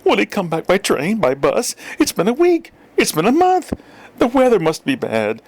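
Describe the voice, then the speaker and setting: A man's voice: high voice, wildly swooping pitch, normal speed, slight background noise, voice pushed up. A man voicing an anxious, nagging mother and wife in a storybook reading.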